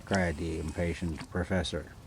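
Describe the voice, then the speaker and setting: A man reading a story aloud casually and haltingly, stumbling over foreign names. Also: low voice, little pitch movement, gravelly. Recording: noticeable background noise.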